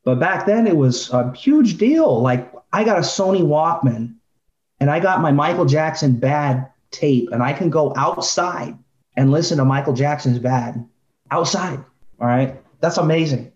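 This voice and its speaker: A man's voice: on the high side, lively intonation, unhurried, darkish tone. Man chatting casually in a roomy, dull recording. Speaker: podcast co-host. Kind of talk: casual debate chat.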